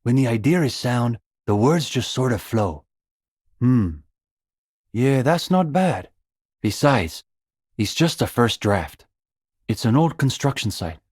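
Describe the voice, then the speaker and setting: A young man voicing an earnest, bookish communist student in a video game, idealistic and a little condescending, speaking in measured, hesitant intellectual phrases. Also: medium pitch, animated, a little mellow, slightly rough, dry recording, studio quality. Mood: hesitant, diplomatic. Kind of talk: video game character dialogue.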